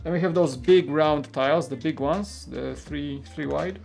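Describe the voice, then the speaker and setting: A man from Poland with a Polish accent, hosting a live stream in a casual chat and reading out viewer comments. He talks in a rambling, relaxed way with many fillers and restarts. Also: on the high side, unhurried, vocal fry, faint music behind.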